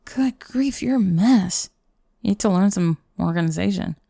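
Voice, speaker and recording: A woman's voice: mid-range voice, expressive, moderate pace, warm tone, dry recording. A young woman playing a sardonic goth bully girl in an intimate ASMR roleplay, grumbling and teasing the listener up close. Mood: grudging annoyance. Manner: teasing, grumbling, sighing, dropping into breathy murmurs. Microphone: close microphone, dry.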